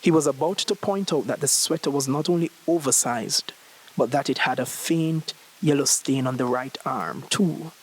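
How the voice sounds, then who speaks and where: Story reader: young adult male, medium pitch, scripted literary narration. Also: normal speed, slightly rough, low-quality recording.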